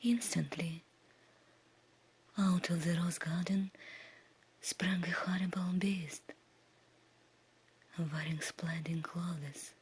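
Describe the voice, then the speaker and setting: A woman tells a bedtime fairy tale in a breathy whisper. Her delivery is very slow and hushed, with soft, soothing phrasing made for falling asleep. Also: on the low side, steady tone. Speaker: ASMR storyteller.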